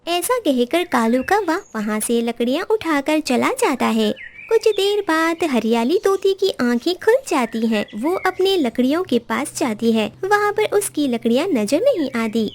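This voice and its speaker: A woman's voice: high voice, sing-song, unhurried. A woman narrating a scripted children's bird story.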